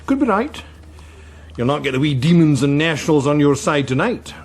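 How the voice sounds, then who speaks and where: A wry political insider in a drama. His husky voice rises and falls in a lively way as he teases and drawls, never quite committing himself. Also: on the high side, normal speed. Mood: teasing, cagey. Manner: teasing, drawling, cagey. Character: wry, knowing Westminster gossip. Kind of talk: naturalistic political drama dialogue.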